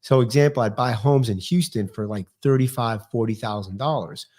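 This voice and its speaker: A man in his fifties with a New York accent, talking fast and with lots of energy as a guest on a podcast. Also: medium pitch, darkish tone. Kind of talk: casual interview chat.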